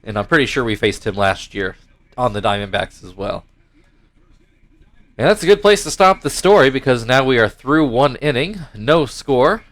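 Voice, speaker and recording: A young man with a stuffed-up nose talks casually over a baseball video game. His middle-pitched voice slips between rambling, self-correcting asides and mock play-by-play calls. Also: very animated, normal speed, a bit bright. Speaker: gaming YouTuber commentating his own baseball game league.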